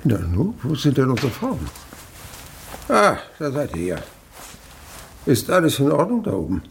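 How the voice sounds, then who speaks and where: An actor playing an old, miserly winegrower, wily and falsely humble, in a German radio drama. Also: male voice, mid-range voice, wildly swooping pitch, measured pace, a little hiss or hum, heavily acted. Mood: brusque, wheedling. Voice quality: gravelly.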